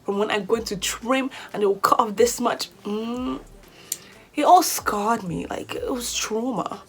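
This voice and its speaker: A woman's voice: fairly high pitch, measured pace, breathy edge. Chatty young British-accented woman. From Nigeria. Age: 20s. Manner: chatty and lively, sometimes flustered and rambling. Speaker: beauty vlogger. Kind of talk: casual chat to camera.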